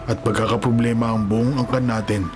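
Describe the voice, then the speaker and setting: An actor playing a loyal, apologetic working-class Filipino friend in a radio drama, earnest and sympathetic. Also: male voice, medium pitch, steady tone, a little fast, over music, lightly acted.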